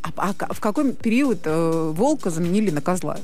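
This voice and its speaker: A woman's voice: fairly low pitch, lively intonation, brisk, over loud music. A woman co-hosting a casual radio interview, asking questions in an inquisitive, conversational way. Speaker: radio co-host interviewing a guest.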